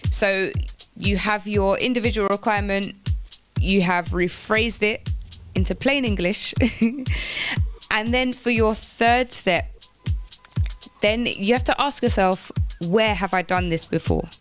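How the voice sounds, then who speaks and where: A woman's voice: mid-range voice, slow pace, pauses often, faint music behind. A woman presenting informal careers advice on the radio, explaining things step by step and repeating phrases for emphasis. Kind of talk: informal instructional talk on job applications.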